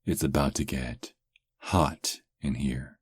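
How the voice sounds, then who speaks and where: A man playing a sarcastic, flirtatious captive werewolf in an ASMR roleplay, teasing with lingering pauses. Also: very deep voice, fairly even intonation, breathy edge, no room sound, studio quality, heavily acted.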